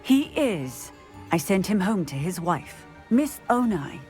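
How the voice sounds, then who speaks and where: A woman's voice: medium pitch, sing-song, takes it slowly, a little mellow, soft background music. A woman voicing a firm, authoritative female officer in a video game cutscene.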